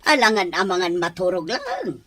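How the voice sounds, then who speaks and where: A woman's voice: fairly low pitch, huge pitch swings, moderate pace, a bit bright, no echo, full character voice. A woman with an Ilocano accent playing a harsh, domineering mother-in-law in a radio drama. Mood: scornful. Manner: scornful, domineering.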